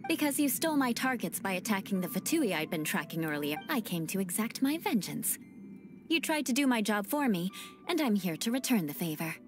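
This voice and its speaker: An actress voicing a proud young noblewoman knight in a video game, cool, dry and haughty, with deadpan talk of vengeance. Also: mid-range voice, brisk, music in the background, close to an everyday voice.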